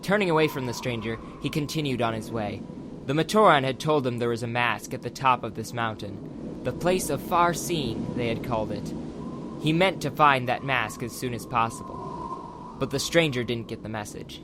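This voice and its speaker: A man's voice: mid-range voice, animated, normal speed, noisy background. A man reading scripted audiobook narration as the narrator of a dramatized story. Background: background music.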